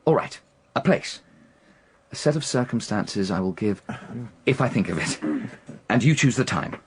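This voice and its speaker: An actor playing a reckless, indebted young gentleman gambler in a radio drama, agitated, insistent and repeating himself as he presses. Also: on the low side, lively intonation, normal speed, slightly rough. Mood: feverish, insistent.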